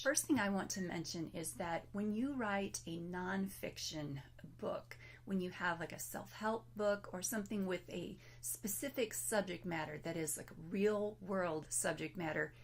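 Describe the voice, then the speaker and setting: Woman author, voice weak and failing, breathy half-whispers, chatty self-deprecating delivery, mid-range pitch. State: losing her voice, weak. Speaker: author giving a presentation. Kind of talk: casual recorded presentation.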